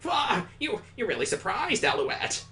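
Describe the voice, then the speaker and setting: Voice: mid-range voice, wildly swooping pitch, a little slow, pushed and bright, shouting, exaggerated voice. A game streamer voicing a rowdy, playful, bratty young child in a visual novel, boisterous and exclaiming. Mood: excited.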